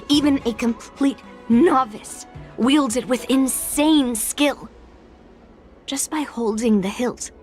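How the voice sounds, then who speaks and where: A headstrong young game swordswoman, speaks in a high voice at a slow, measured pace. She is serious and defiant, intent on her mission, with faint music underneath. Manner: defiant, determined, explanatory. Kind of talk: video game character acting.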